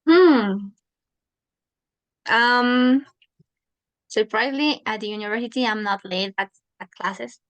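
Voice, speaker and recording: Slow, giggly teenage woman. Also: fairly high pitch, dry recording. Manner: chatty, giggly, teasing. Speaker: university student, interviewee. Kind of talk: casual conversation practice.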